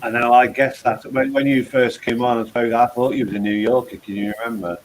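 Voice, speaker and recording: A man's voice: medium pitch, fairly even intonation, fairly quick, a little hiss or hum, rough recording. A man with an East Midlands English accent, bantering in a friendly way on a casual live stream. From East Midlands, England. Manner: friendly, banters, calls people mate. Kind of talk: casual chat.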